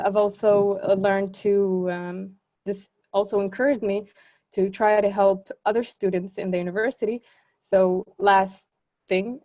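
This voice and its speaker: A young woman whose first language is Arabic speaks earnestly and reflectively over a video call. She pauses often on fillers and restarts her sentences. Her voice is mellow and soft-edged, and the audio is slightly muffled. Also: mid-range voice. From Israel. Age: young adult. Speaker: student panelist. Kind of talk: webinar panel talk.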